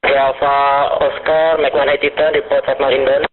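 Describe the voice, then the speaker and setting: A man's voice: medium pitch, flat delivery, moderate pace. A man working as an air traffic controller, giving clipped standard instructions over radio. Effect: radio transmission. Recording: noisy, narrow-band aviation radio.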